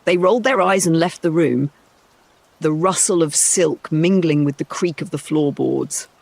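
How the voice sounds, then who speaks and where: Gravelly, husky male storyteller. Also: fairly high pitch, unhurried, a little mellow, dry recording. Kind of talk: scripted bedtime story narration.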